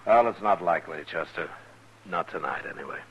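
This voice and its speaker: An actor playing a calm, authoritative western marshal in an understated, reassuring manner, in 1950s radio drama style. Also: male voice, sits low, brisk, a little hiss or hum. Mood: low-key, calm. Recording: dull vintage 1950s radio recording.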